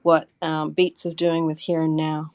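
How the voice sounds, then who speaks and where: A woman's voice: low-pitched, monotone, measured pace, crowd noise. A woman giving casual, conversational answers in an interview. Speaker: community member being interviewed.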